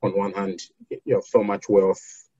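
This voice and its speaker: A man from Zambia, a foundation program officer, answering interview questions in a measured way with many fillers and restarts. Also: on the low side, level tone, mellow, slightly rough, no echo, highs cut off.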